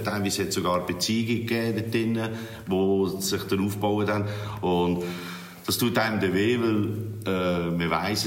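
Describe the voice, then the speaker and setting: A middle-aged man with a Swiss German accent, a fire commander answering spontaneously in an interview. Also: sits low. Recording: echoey room.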